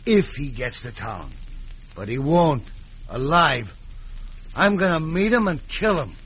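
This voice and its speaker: An actor playing a tough, swaggering Old West outlaw in a radio drama, blunt, folksy and menacing. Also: male voice, on the high side, big pitch swings, measured pace. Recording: faintly noisy old radio recording.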